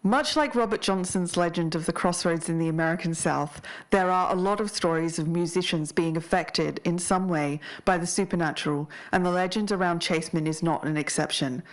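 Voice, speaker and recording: Lower-voiced woman narrating a podcast. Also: fairly quick, hardly any pauses. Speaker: podcast co-host.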